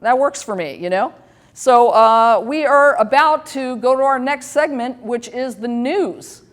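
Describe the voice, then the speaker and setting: A woman in her fifties hosts a casual talk show, rambling candidly and poking fun at herself. Her voice sits a little high, and her talk is full of fillers and restarts. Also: unhurried. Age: 50s. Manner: candid, self-deprecating, rambling with many fillers. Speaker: host.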